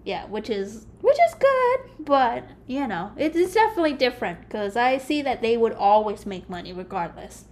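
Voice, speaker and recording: Young woman co-host with a higher voice, chatty and quick to laugh. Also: sing-song, darkish tone. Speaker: podcast co-host. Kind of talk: casual podcast chat.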